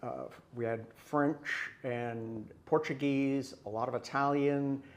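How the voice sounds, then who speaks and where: A man from the US Upper East Coast hosting a casual interview show, chatty and quick to laugh. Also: mid-range voice, steady tone. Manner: chatty, laughs easily, restarts often. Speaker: talk show host.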